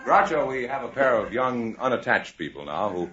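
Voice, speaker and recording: A male radio announcer of the early fifties makes studio introductions in a medium-pitched voice. The sound is dull and limited, like an old broadcast. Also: expressive, normal speed, fairly bright tone. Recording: muffled 1950s radio recording.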